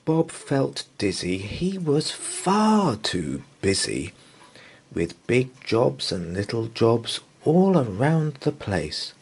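A man's voice: mid-range voice, very animated, gravelly, a little mellow, a little hiss or hum. A man reading a children's bedtime story aloud in a playful, theatrical way. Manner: playful, theatrical, drawn-out delivery. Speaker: storybook reader.

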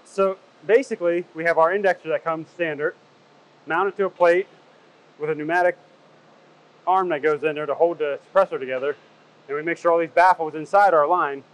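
A man's voice: a little high, brisk, poor audio. A man in his thirties explaining technical work casually and plainly, as a welding technician would. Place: industrial workshop, seated at machine. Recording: background noise in workshop.

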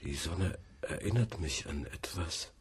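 A man's voice: rumbling low, expressive, measured pace, breathy edge, full character voice. A man playing a tormented, obsessed student in a German radio drama, muttering agitatedly to himself in broken phrases. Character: tormented, obsessed male student haunted by hazy memories.

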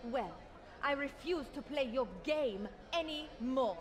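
An actress playing a headstrong young daughter in a video game, indignant and firm. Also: female voice, high-pitched, takes it slowly, slight background noise. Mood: defiant, angry. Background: music.

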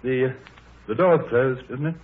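An actor playing a troubled, anxious country gentleman, grave, hesitant and formal, in a 1930s radio drama. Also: medium pitch, normal speed, husky, natural voice. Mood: uneasy. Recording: muffled, noisy 1930s radio recording.

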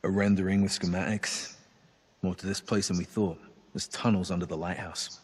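An actor playing a gruff, laconic special forces soldier with dark humor, making short deadpan callouts in a military video game. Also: male voice, sits low, normal speed. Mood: businesslike. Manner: terse, deadpan.

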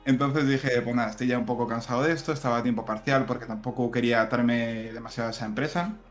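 A man in his thirties from southern Spain, chatting casually as a guest, laughing lightly and restarting his sentences. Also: medium pitch. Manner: relaxed, chuckling, many fillers and restarts. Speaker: guest software engineer and teacher. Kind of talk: casual interview chat. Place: room at home. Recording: echoey room, faint music.

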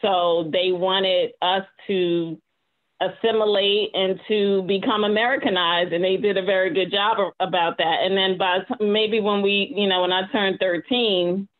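Woman on a tinny video call. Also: a little slow. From United States, New Jersey. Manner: animated storytelling, mock quotes with big pitch jumps. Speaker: panelist, business owner. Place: home room, indoors. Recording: tinny phone-like call audio.

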